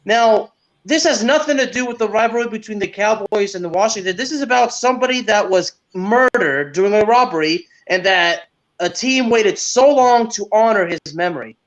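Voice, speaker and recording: A man with a notably high voice talks football as a podcast co-host, heard through dull, lo-fi audio. He is opinionated and emphatic, repeating key words to drive a point home. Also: fairly bright tone. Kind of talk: opinionated casual football commentary.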